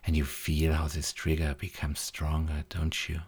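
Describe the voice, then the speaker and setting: A man guiding a hypnosis induction in a soft, repetitive way, often dropping to a whisper. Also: extremely low pitch, completely flat delivery, moderate pace, breathy edge.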